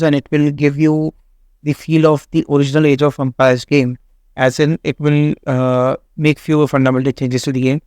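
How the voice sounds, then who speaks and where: A man, not a native English speaker, hosting a game walkthrough in a halting way with many fillers and restarts. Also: mid-range voice, a little mellow, clear, resonant tone, no echo. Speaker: gaming channel host. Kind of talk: casual game walkthrough commentary.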